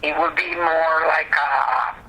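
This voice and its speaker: A man's voice: on the high side, fairly even intonation, slow pace, vocal fry, pushed and bright. A man interviewing someone by telephone, explaining a position hesitantly with repeated restarts, on a noisy recording. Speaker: job interviewer. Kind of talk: explanatory interview talk over the phone.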